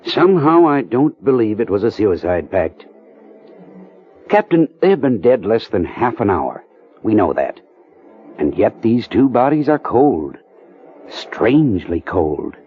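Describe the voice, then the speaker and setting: An actor plays a grave male investigator in vintage radio drama style. His low voice moves dramatically in pitch, solemn and foreboding. It sounds thin and tinny. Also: sing-song, measured pace. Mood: grave, ominous. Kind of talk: old-time radio drama acting. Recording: tinny narrow-band radio drama recording.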